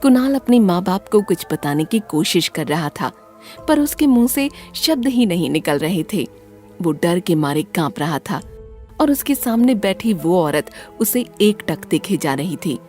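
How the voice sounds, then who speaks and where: A woman with a fairly low voice tells a horror story. Her scripted narration is dramatic and very lively in pitch, over soft background music. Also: unhurried.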